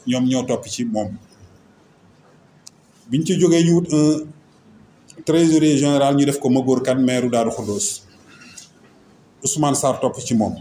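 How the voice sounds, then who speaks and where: A man with a Senegalese accent speaking as a local mayor, making a semi-formal announcement in a halting, list-like way. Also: medium pitch, dark tone. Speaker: local mayor and association vice-president. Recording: roomy echo, faint background noise.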